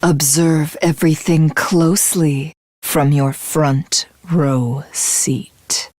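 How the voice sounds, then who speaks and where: A woman playing a grandiose, battle-crazed villainess who thinks herself a god, in theatrical, imperious anime game voice acting with a breathy voice that slips toward whispers. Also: medium pitch, dry recording, studio quality, exaggerated voice. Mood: menacing calm. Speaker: video game voice actress. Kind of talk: dramatic anime game character voice lines.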